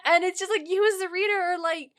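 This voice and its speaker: A woman podcast host telling stories in a casual, comic chat, animated and emphatic, with plenty of swearing. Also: very high-pitched, quick pace.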